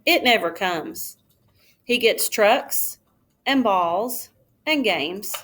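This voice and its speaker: A woman reading a children's storybook aloud like a schoolteacher, in a careful, expressive storytelling manner. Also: medium pitch, drawn-out words.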